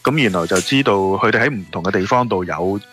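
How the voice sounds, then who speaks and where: A man with a Hong Kong Cantonese accent explains things casually as an interview guest. His voice is fairly low yet bright and forward, with music faintly underneath. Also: fast. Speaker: radio interview guest, shop owner. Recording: music playing behind the voice. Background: background music.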